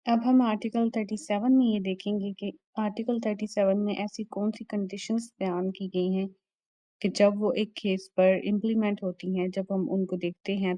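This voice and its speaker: A woman's voice: mid-range voice, fairly even intonation, moderate pace, mellow, no room sound, poor audio. A woman with a Pakistani accent giving an explanatory lecture, methodically repeating and rephrasing each point as a teacher does. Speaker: law lecturer. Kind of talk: explanatory lecture, switching between Urdu and English.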